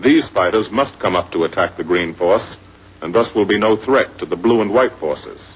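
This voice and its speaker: An American man narrating a scripted 1940s wartime propaganda film in measured, dramatic short phrases. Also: deep voice, lively intonation, husky. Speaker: documentary narrator. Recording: muffled, noisy 1940s film soundtrack.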